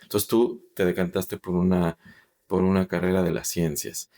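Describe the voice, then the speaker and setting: A man's voice: low voice, level tone, normal speed, warm tone. A man hosting a podcast, asking a guest long, thoughtful questions. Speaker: podcast host. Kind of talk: interviewing a guest.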